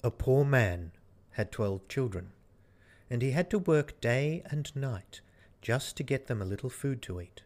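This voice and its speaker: A man's voice: mid-range voice, animated, measured pace. A man reading a fairy tale as a storyteller, in scripted narration.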